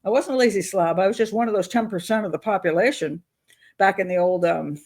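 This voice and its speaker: A woman's voice: mid-range voice, fast talker, darkish tone. An older woman hosting a casual advice vlog, talking in a chatty, candid, rambling and self-deprecating way. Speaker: advice show host on YouTube.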